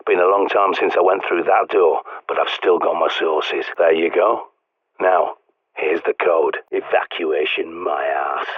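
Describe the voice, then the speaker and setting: A man's voice: deep voice, fairly even intonation, measured pace, husky, no room sound. A middle-aged man voicing a cynical British survivalist who guides the player by radio, blunt and sardonic, swearing often, in a video game. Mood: gruff. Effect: radio transmission. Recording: tinny radio line.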